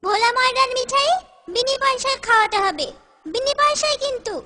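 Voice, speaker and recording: Woman as a squeaky, bright little cartoon boy. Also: a little fast. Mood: demanding. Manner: pleading, insistent, childlike. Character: plucky, aggrieved little village boy. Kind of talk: comic cartoon acting. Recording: faint music behind the voice.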